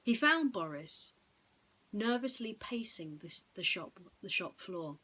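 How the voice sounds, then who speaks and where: A woman's voice: mid-range voice, big pitch swings, slow pace, pushed and bright, breathy edge, rough recording. A woman storyteller narrating a bedtime story in a dramatic, suspenseful way.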